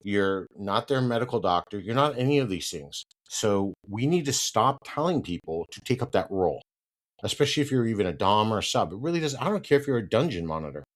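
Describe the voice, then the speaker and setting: A man's voice: on the low side, sing-song, fairly quick. A man hosting a solo podcast, sounding congested and a little nasal from hay fever, talking in a conversational way and tagging "right?" onto many points. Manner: conversational, repeats "right?" often, turns firm and emphatic when ranting. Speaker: podcast host.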